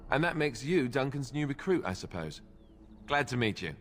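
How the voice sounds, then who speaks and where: An actor playing a wry young warrior recruit in a fantasy video game, with a glib, sarcastic way of talking. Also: male voice, mid-range voice, big pitch swings, normal speed, slight background noise, natural voice. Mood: sheepish, friendly.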